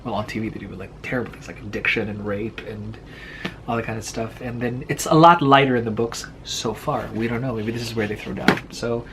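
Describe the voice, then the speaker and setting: A man chatting casually about books in a slangy, wry, halting way, often doubling back on his words. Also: on the low side, steady tone, background noise. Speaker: co-host of a book vlog. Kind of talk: casual book chat.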